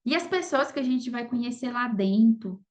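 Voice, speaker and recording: A woman's voice: on the high side, animated, moderate pace. A Brazilian woman speaking Portuguese as a podcast guest, telling her story casually and often seeking agreement with little tag questions. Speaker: podcast guest, affiliate marketer. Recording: dull lo-fi audio.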